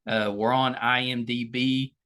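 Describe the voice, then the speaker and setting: A man's voice: mid-range voice, flat delivery, unhurried, bright, forceful tone. A middle-aged American man speaking as a podcast host, casually and earnestly thanking and appealing to his audience in a chatty way. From United States.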